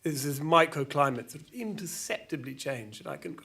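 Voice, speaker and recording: A man playing a flustered, exasperated storyteller, halting and repeating himself through a comic monologue full of petty grievances. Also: a little high, expressive, a bit bright, slightly rough, heavily acted. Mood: uneasy. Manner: halting, repetitive, stumbling over words. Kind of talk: rambling semi-improvised comic monologue with acted argument quotes.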